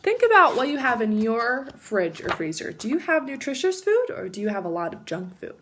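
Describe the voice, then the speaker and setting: A woman teacher reads a storybook to young children with a very lively, swinging delivery. Her voice is fairly high and bright, and she addresses the children directly with clear emphasis. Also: brisk, a little hiss or hum. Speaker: teacher reading a picture book to children. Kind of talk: expressive children's storybook read-aloud with asides.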